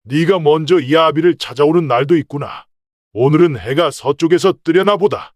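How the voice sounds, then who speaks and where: A man's voice: on the high side, normal speed, darkish tone, dry recording, natural voice. A man playing a shrewd, proud merchant father in an old folktale, with old-fashioned, authoritative speech. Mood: amused. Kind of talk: acted folktale dialogue.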